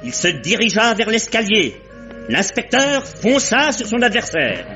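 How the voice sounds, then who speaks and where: An actor narrating a 1950s French radio serial in a measured, mock-solemn way. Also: male voice, high voice, sing-song. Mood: solemn, storytelling. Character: storyteller announcing chapters, wry and solemn. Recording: muffled old radio recording, music behind.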